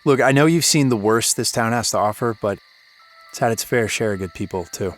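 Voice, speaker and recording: An actor playing a broken-down, haunted radio DJ in a horror audio drama, hesitant and defensive. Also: male voice, mid-range voice, brisk, faint music behind, natural voice. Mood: guarded, defensive. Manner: hesitant, guarded.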